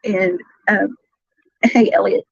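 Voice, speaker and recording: A woman's voice: mid-range voice, fairly even intonation, slow pace, gravelly, breathy edge, dry recording. A woman chatting casually on a live stream in a giggly, crude, incredulous manner. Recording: dull, lo-fi replayed stream audio.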